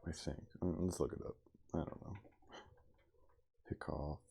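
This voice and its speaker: A man reading a story aloud in a hushed ASMR style, with casual asides. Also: extremely low pitch, fairly even intonation, a little slow, vocal fry, a little hiss or hum. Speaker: reader, livestreamer.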